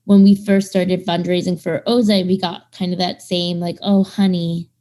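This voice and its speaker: A woman's voice: lowish voice, little pitch movement, moderate pace, dark tone. A woman in her thirties, a startup founder, talking casually in an interview, with many fillers and quoted asides. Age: 30s. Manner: chatty, lots of fillers, acts out quoted people.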